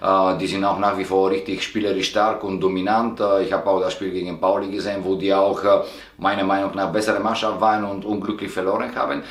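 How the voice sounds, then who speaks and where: Man, 40s, football coach, halting speech, lowish voice. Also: steady tone. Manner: halting, many filler sounds. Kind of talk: press interview. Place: indoor stadium media room, sponsor wall. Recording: echoey room.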